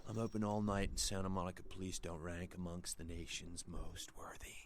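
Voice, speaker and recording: Man as a low-voiced uneasy night shopkeeper. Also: fairly even intonation, fairly quick, lightly acted. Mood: spooked. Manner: slangy, uneasy, conversational. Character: jittery, chatty male night-shift shopkeeper. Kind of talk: video game voice acting.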